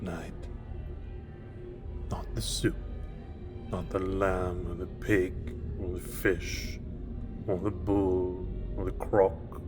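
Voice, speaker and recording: Audio drama role: male actor as cryptic hooded stranger, very low voice, brooding, prominent music underneath. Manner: slow, brooding, rhetorical questioning. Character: cryptic cloaked stranger, ominous and mournful man.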